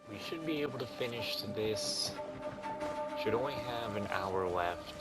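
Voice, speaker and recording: A man's voice: on the low side, expressive, unhurried. A man giving casual commentary as he hosts a video game playthrough. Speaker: gaming video host. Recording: loud game music behind.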